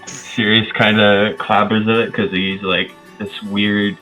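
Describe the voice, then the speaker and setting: A man's voice: lowish voice, level tone, a little slow, bright, forceful tone, faint music behind, low-quality recording. A man casually narrating action in a tabletop roleplaying game.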